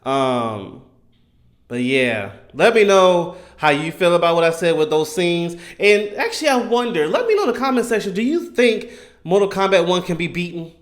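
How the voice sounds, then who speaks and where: A man in his thirties giving casual, enthusiastic fan commentary in an animated, emphatic, slangy way. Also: sits high, moderate pace. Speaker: gaming content creator.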